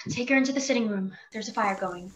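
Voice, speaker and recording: A woman's voice: mid-range voice, fairly quick, natural voice. A woman playing a worried, urgent young woman in a radio play. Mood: urgent worry. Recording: echoey room with background noise.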